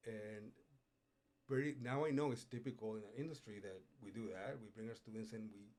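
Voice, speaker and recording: A middle-aged man from Puerto Rico, a culinary instructor, telling his life story in a relaxed way, with frequent pauses and "uh"s, in a studio interview. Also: on the low side. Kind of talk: casual interview. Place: radio studio.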